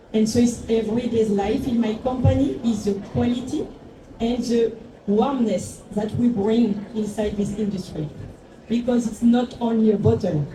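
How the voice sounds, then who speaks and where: A woman in her forties with a foreign accent, giving a reflective talk as a brand founder and often pausing for words. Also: on the high side. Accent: foreign, not Mexican. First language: not English. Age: 40s. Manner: reflective, searching for words, many fillers. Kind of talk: talk to an audience. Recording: echoey room, far from the mic, noisy.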